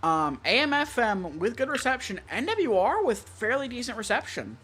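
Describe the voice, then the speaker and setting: An American enthusiast talks casually at a middle pitch, rambling through technical details. The delivery is opinionated and conversational, as on an online stream, with faint music behind it. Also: very animated, moderate pace, fairly bright tone, husky. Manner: opinionated, rambling, listing features. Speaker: stream co-host, weather radio collector.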